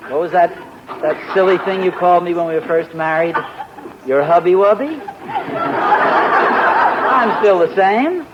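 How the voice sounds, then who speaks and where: An actor playing a vain, fussy husband in a 1940s radio sitcom, who is indignant and wheedling. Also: male voice, high-pitched, unhurried, long pauses, a crowd in the background. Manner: indignant, wheedling, self-flattering. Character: vain, fussy, easily flustered middle-aged husband. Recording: dull, faintly hissy old radio broadcast.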